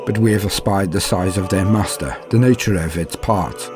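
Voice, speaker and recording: A man performs a dutiful medieval fantasy knight, afraid yet steadfast, in a deep, low voice. He tells his tale as solemn audio drama, with grave, archaic phrasing and dramatic pauses, over faint music. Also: lightly acted. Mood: fearful confession. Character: frightened but dutiful male knight recounting doomed battle. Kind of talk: dramatic first-person audio drama narration.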